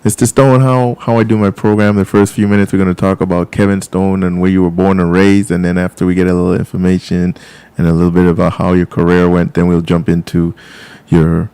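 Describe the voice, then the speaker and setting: A male radio host with a very low, warm voice asks his questions in a rambling, restarting way. His tone is smooth and resonant. The studio sound is dry and close. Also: level tone, a little fast, no room sound. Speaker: radio talk show host. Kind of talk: live call-in radio interview.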